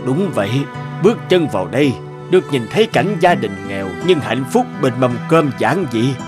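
A man acts as a middle-aged warrior king from an animated fairy tale, proud yet homesick and full of regret. His voice has an average male pitch and moves in lively swells, solemn and reflective. Music plays clearly behind him. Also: moderate pace, close to an everyday voice. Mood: wistful, regretful. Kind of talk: cartoon fairy-tale dubbing.

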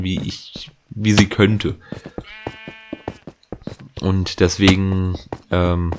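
Low-voiced chatty German gaming man. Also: noisy background. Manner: chatty, rambling, many fillers and restarts. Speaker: let's play host. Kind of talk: casual gaming commentary.

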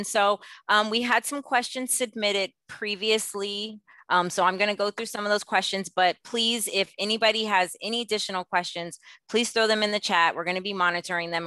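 Woman moderator, bright tense tone, dry close audio. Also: fairly low pitch, no room sound. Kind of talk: moderating a panel video call. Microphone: video call.

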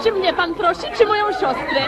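An actress playing a naive, excitable young unmarried daughter in a radio comedy. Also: female voice, extremely high pitch, moderate pace, a crowd in the background. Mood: flustered. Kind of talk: stage-comedy radio play acting.

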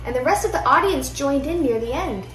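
A young woman reads a children's picture book aloud with expressive storytelling in a living room. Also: high voice, fairly quick. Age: young adult. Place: indoor living room at home. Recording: roomy echo, music behind.

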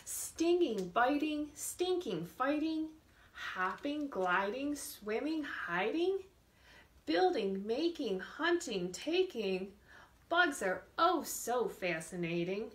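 Lady doing kids storytime, high voice, reads real slow and expressive. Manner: expressive, deliberate reading with drawn-out words and questions to children. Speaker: children's librarian hosting storytime. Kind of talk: read-aloud picture book storytime for young children. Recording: echoey room sound.

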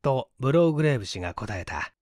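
A man's voice: mid-range voice, sing-song, fast talker, a little mellow, no echo, studio quality. A man reading scripted mystery fiction aloud as a narrator. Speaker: story reader.

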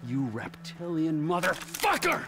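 A man's voice: fairly high pitch, wildly swooping pitch, measured pace, a bit bright, faint music behind, exaggerated voice. A man playing a bitter, aggressive middle-aged criminal in a dramatic video game scene. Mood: furious taunting. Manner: aggressive, taunting, profane. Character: volatile, betrayed middle-aged male criminal, furious and bitter.

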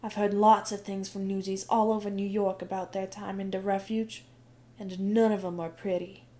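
A woman's voice: mid-range voice, little pitch movement, normal speed, dark tone, lightly acted. A woman voicing a fiery young girl who poses as a newsboy, speaking in tough, heated street talk in an amateur audio drama.

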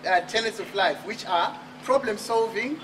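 A man from Zimbabwe with a high voice delivers an emphatic motivational talk on an outdoor terrace at night. He is picked up from a distance by the camera, with steady outdoor noise behind him. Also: normal speed. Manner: emphatic, rhetorical questions. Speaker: author and speaker. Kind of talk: motivational speech at a book launch. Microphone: far camera.

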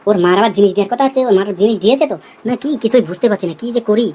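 A man's voice: sits high, racing, a little mellow. A man voicing a brash, scheming young village husband in an amateur comedy cartoon. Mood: impatient. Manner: brash, colloquial.

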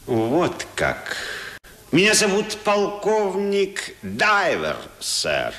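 An actor playing a pompous, boastful American colonel and newspaper editor in a Russian radio drama, declaiming grandly. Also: male voice, sits high, wildly swooping pitch, drawn-out words, fairly bright tone, heavily acted. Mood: boastful, courteous. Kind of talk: Soviet 1970s radio drama acting. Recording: roomy 1970s radio play recording.

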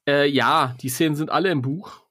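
A man's voice: fairly high pitch, moderate pace, a bit bright, no room sound, studio quality. A man with a German accent, co-hosting a podcast, reading a story and bantering with teasing asides. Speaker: podcast co-host reading a film novel aloud.

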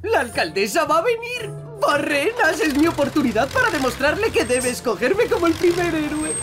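An actor voicing an eager, show-off young digger machine in an excitable Castilian Spanish cartoon dub. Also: sits high, normal speed, music in the background. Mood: eager, boastful. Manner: excitable, exclamatory. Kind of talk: animated children's cartoon dub.

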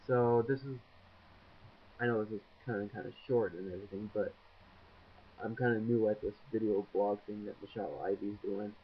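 A man's voice: lowish voice, fairly even intonation, moderate pace, husky. A man in his twenties, shy and rambling with lots of ums, talking to a webcam in a dim room. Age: 20s. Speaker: vlogger. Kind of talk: casual video blog. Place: small dim room indoors, window behind. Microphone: built-in webcam mic. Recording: dull lo-fi webcam audio.

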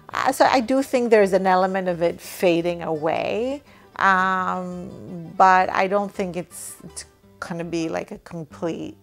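Interviewee: woman, 30s, from Greece, unhurried and gravelly, thoughtful, faint music underneath. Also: fairly low pitch. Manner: thoughtful, frequent restarts and "right?" tags. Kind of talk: documentary interview, casual reflective talk. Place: living room, seated on a sofa.

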